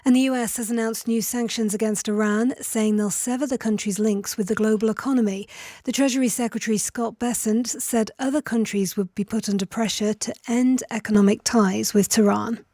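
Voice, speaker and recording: A woman's voice: fairly high pitch, steady tone, a little fast, mellow, no room sound, clean studio sound. A woman reading a scripted radio news bulletin. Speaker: radio newsreader.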